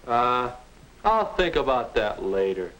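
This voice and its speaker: A young man with an American accent playing a sullen, defensive high school boy with no aim, grumbling in a 1950 instructional film. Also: very animated, slow pace, fairly bright tone. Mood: sullen, dismissive. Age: young adult. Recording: noisy, clicking 1950 film soundtrack.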